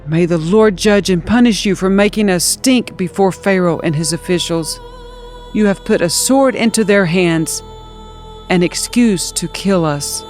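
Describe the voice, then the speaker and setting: A woman's voice: lowish voice, a little slow, darkish tone, faint music behind, natural voice. Oppressed ancient work foreman performed by a woman, desperate, aggrieved pleading for scripture storytelling. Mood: bitter.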